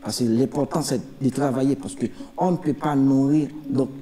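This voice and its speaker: A man's voice: mid-range voice, normal speed, mellow, soft background music. A man with a Haitian accent giving spontaneous interview testimony as an asylum seeker, emphatically repeating his phrases.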